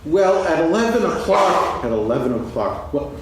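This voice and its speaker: A man playing a friendly, slow-witted storybook bear in a staged reading, bumbling and repeating himself. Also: on the high side, very animated. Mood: earnest, muddled. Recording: echoey stage, distant mic, faint noise.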